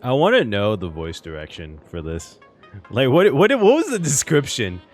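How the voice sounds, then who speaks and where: A streamer giving casual, excitable and expressive reaction commentary on a game. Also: mid-range voice, moderate pace, soft background music. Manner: excitable, giggly, expressive, swearing.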